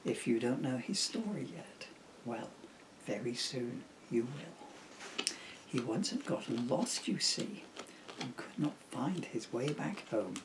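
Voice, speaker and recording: A man's voice: medium pitch, lively intonation, slow pace. A man, a father reading a children's story aloud in a playful, jokey way with chatty asides, recorded with some noise. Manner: jokey, self-teasing. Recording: clear background noise.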